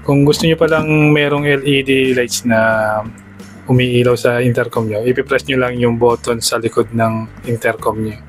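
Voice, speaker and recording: A Filipino man talks viewers through a device setup in a casual vlog style. His voice sits at an average male pitch and his delivery is plain and instructional. Faint music plays behind him. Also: little pitch movement, moderate pace. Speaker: motorcycle vlogger giving a product tutorial. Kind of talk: casual step-by-step how-to narration in a vlog.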